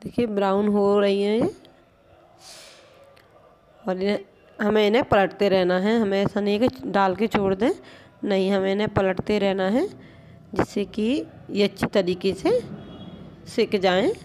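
A woman's voice: mid-range voice, a little slow, pauses often, a little mellow, a little hiss or hum. A woman teaching a home cooking recipe step by step in her kitchen, patient and explanatory, often pointing things out as she goes. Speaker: home cooking channel host. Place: home kitchen at a gas stove.

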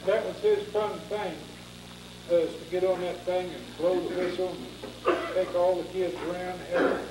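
A man on a panel telling a halting story, full of pauses and fillers. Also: high voice, flat delivery. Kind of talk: casual storytelling. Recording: echoey room from far off with background noise.

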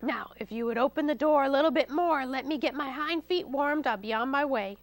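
Storybook villain: woman as a sly old male fox, cajoling and greedy, pitched high. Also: fairly quick, no echo, lightly acted. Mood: wheedling. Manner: pleading, cajoling. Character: mean, tricky old drooly-mouthed male fox, wheedling and greedy.

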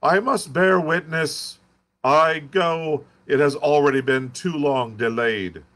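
A man's voice: a little high, slow, fairly bright tone, pitched above the performer's own voice. A man voicing a half-mad male curate who rants and wails like a preacher. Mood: raving. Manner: fervent wailing sermon-like raving.

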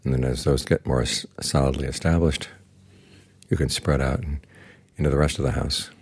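An older man, a Buddhist monk, giving a slow, calm, plainspoken guided meditation talk. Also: very deep voice, slightly rough. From United States.

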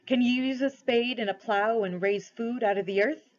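Storybook lion king female narrator performing, wise, stern authority, measured formal delivery, mildly dull sound. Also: a little high, darkish tone, voice pushed up, natural voice. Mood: grave questioning. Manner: grave, formal questioning. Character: great solemn male lion king, commanding and wise.

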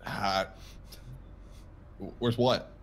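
A man's voice: lowish voice, fairly even intonation, drawn-out words. A man playing an evasive, unruffled young Southern farm boy in comic game roleplay, stalling and acting innocent.

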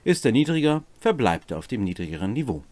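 A man's voice: mid-range voice, big pitch swings, quick pace, a little mellow, no echo. A middle-aged man hosting a rules explainer, presenting with casual asides. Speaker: host of a tabletop game rules series. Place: small cluttered home room, indoors.

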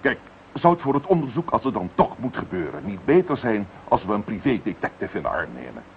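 A man's voice: medium pitch, sing-song, a little fast, creaky, narrow, tinny audio. A man acting a genteel, pompous medical professor in a radio play, talking in roundabout, insinuating phrases. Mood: indignant. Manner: pompous, circumlocutory. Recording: thin band-limited radio drama recording, slight noise.

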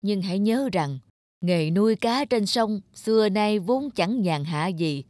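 Old country dad in a cartoon, gentle, careful, talks slow and measured. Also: male voice, high voice, animated, no echo. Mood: concerned. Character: gentle, cautious old village father. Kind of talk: cartoon dubbing.

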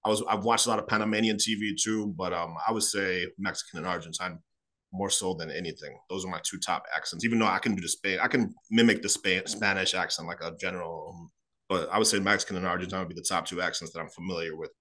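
Man, 30s, from Chicago; low-ish, rapid, animated interview guest on a video call. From Chicago, United States. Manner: fast, animated, gestures a lot while talking. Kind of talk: casual storytelling interview. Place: small room at home.

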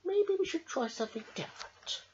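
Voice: extremely high pitch, sing-song, a little fast, darkish tone, pitched above the performer's own voice. A storyteller voicing a cheerful, caring little girl from a picture book, in an earnest childlike way. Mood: thoughtful. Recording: dull lo-fi camera audio.

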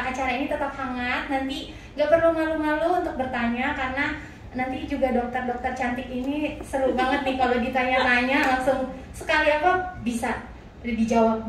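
A high-voiced woman hosts a small clinic event, talking in a chatty way with frequent fillers. She is heard from a distance in a very echoey room, with light noise behind her. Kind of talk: event hosting with mic. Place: clinic showroom, indoors. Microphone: handheld mic, far camera.

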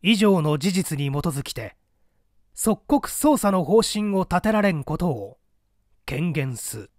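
A man's voice: on the high side, sing-song, takes it slowly, no echo, studio quality. A man narrating a literary story as a scripted audiobook, in a formal, precise, dramatic manner.

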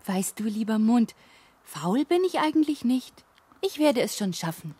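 An actress playing an earnest, polite young fairy-tale wanderer, speaking simply and gently in a radio play. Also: female voice, a little high, very animated, measured pace, breathy edge, dry recording, full character voice. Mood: eager, earnest.

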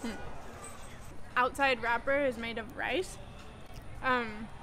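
A woman's voice: a little high, steady tone, slow pace, background noise. A young woman hosting a casual food vlog, speaking with upbeat enthusiasm. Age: young adult. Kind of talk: casual travel vlog narration. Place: outdoor night market. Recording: busy outdoor market crowd, music behind.

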